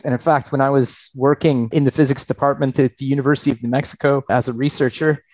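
A man's voice: mid-range voice, a little mellow, dry recording, highs cut off. A man in his forties, originally from Alaska, answering as a podcast guest in a thoughtful way, with restarts and wry laughs. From Alaska, United States. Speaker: podcast guest, party official. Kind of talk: conversational interview answers.

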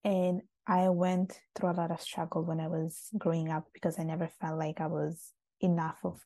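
A woman's voice: lowish voice, flat delivery, normal speed, mellow, no echo. A young adult woman from Italy, answering interview questions in a reflective, casual way with frequent restarts. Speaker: guest.

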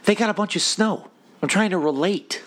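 A man co-hosting a podcast, slightly hoarse, joking and swearing in rambling casual banter. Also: sits high, moderate pace, fairly bright tone. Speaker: podcast co-host.

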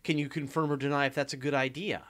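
Host: Canadian man, mid-pitched, laid-back, fields audience questions. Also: fast talker. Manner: relaxed, reads out viewer questions. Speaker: podcast host. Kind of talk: casual live Q&A chat.

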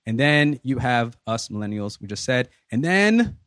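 A man's voice: mid-range voice, unhurried, smooth, dry recording. A man in his thirties hosting a podcast in a loose, easygoing way. He wanders through his points with plenty of fillers and restarts. His voice sits mid-range and is recorded close and dry. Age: 30s. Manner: relaxed, rambling with many fillers. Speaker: podcast host. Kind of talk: casual group discussion.

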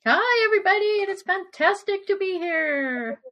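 A woman co-hosts casually. Her voice is very high with lively intonation. The sound is a little muffled and lo-fi. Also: moderate pace. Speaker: podcast co-host. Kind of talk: casual chat. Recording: slightly dull, lo-fi microphone.

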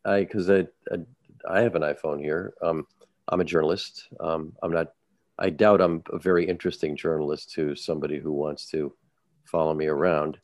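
Very deep-voiced halting man. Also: fairly even intonation, darkish tone, no echo. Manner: halting, many restarts and repeated words. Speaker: journalist, podcast co-host. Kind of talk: casual podcast discussion.

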